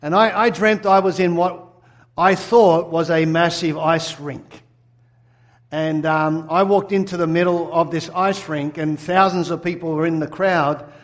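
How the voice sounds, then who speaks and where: A man's voice: a little high, steady tone, measured pace. A middle-aged Australian man from Sydney, preaching a sermon and telling his own story earnestly, putting questions to the congregation. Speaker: pastor. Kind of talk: sermon with personal testimony.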